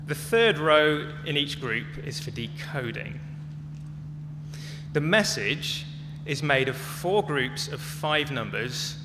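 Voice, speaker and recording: A man giving a prepared talk as a conference speaker, phrasing it in short, measured, emphatic chunks. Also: medium pitch. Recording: long echoey reverb, clearly noisy with hum.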